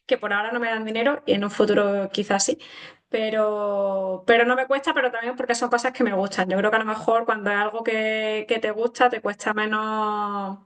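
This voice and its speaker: A woman from Granada in her thirties with an Andalusian accent, interviewing a guest in a relaxed, chatty way and laughing easily. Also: medium pitch, a little fast. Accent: Andalusian Spanish. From Granada, Spain. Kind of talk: casual interview chat.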